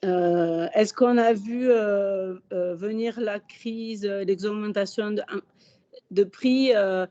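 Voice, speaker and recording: A hoarse woman speaks in a mellow, matter-of-fact way as she gives testimony to a webinar. The sound is dull and lo-fi, like a video call. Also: medium pitch, slow. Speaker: company managing director.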